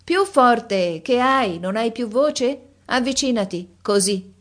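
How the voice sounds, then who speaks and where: A woman putting on a shrill imperious old countess. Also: expressive, takes it slowly, fairly bright tone. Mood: scolding. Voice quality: shrill, scolding. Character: imperious fussy elderly countess. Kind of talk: audiobook character voice.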